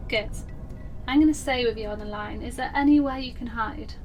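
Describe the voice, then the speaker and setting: A woman's voice: a little high, a little fast, distant mic, soft background music, natural voice. A woman playing a concerned police dispatcher in a horror story, speaking firmly but lightly to reassure a child caller. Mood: reassuring, steady.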